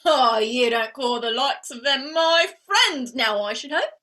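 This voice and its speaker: A woman's voice: high voice, expressive, unhurried, pushed and bright, heavily acted. A woman playing a proud, cheeky young working-class flower girl with a Cockney accent in a staged play reading. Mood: eager, proud. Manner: cheeky, ungrammatical, indignant.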